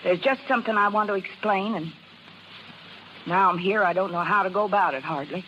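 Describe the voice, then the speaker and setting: An actress playing a tired, broke carnival dancer in a 1930s American radio drama, speaking hesitant, folksy slang. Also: female voice, mid-range voice, a little fast, highs cut off, slight background noise, lightly acted. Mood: despairing, resigned. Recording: vintage 1930s radio, faint music behind.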